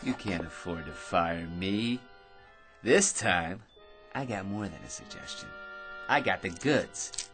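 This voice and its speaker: An actor playing a cocky, excitable working-class dreamer in a radio drama, with slangy, boastful patter. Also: male voice, deep voice, slow pace, long pauses, soft background music, exaggerated voice. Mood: pushy. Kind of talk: old-time radio drama acting.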